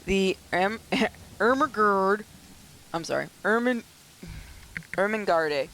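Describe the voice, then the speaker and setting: Slightly hoarse gamer with joking asides. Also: mid-range voice, expressive, takes it slowly, bright, forceful tone, a little hiss or hum. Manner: joking, self-mocking asides. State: slightly hoarse, getting sick. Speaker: gaming YouTuber. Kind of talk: let's play commentary with read-aloud narration.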